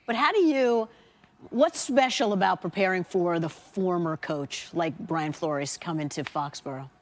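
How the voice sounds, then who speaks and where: A woman's voice: on the low side, measured pace. A woman hosting a sports show, with a polished presenter delivery as she asks questions and reads the tease. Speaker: TV show host.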